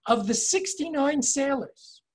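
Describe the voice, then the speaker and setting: A man's voice: very high-pitched, lively intonation. Older man giving a virtual tour. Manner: measured, explanatory, reads inscriptions slowly. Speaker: tour guide and educator. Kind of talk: virtual tour lecture over slides. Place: home office, indoors. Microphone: video call webcam.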